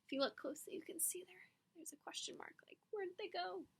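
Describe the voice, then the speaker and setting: A woman's voice: very high-pitched, fast, whispered. A woman reading a picture book aloud to children with dramatic emphasis and teacherly asides. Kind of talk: expressive read-aloud storytelling with asides to children.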